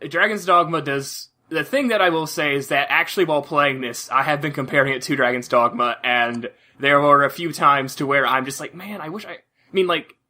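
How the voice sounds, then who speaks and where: A man's voice: fairly high pitch, fairly quick, sharp tone. A man chatting casually as a podcast host, rambling along with "like" dropped into nearly every sentence. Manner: rambling, heavy use of "like". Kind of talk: casual chat about video games.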